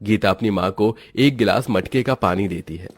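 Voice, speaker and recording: A man's voice: fairly low pitch, moderate pace, slightly rough, no echo, soft background music. A man narrating a scripted cartoon story as its storyteller.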